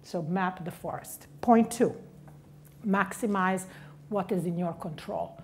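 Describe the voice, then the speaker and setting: A woman's voice: on the low side, slow pace, darkish tone, a little hiss or hum. A middle-aged woman from Italy with an Italian accent, giving a direct, punchy motivational career talk. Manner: direct, punchy, stressing key words.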